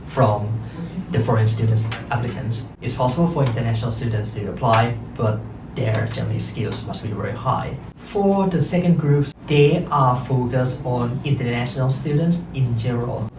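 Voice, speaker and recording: A man in his thirties from Thailand, speaking English with a Thai accent as a second language, giving a careful prepared talk with pauses and self-corrections. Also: medium pitch, roomy sound, distant mic, background noise. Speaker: interpreter and translator giving a talk.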